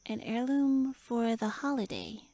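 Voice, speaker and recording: A woman's voice: a little high, very animated, a little slow, dark tone. A woman voicing a tiny, pouty tsundere elf with little wings, flustered, huffy and indignant, speaking to the listener in a roleplay audio drama. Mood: huffy, defensive.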